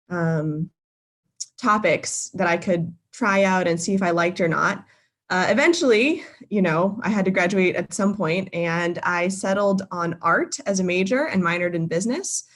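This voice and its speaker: Young woman in her twenties, lower voice, casual guest talk full of fillers. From Boston, Massachusetts. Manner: friendly, many filler ums and uhs, self-correcting. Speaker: guest speaker. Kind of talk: casual presentation to a live virtual audience. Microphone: video call.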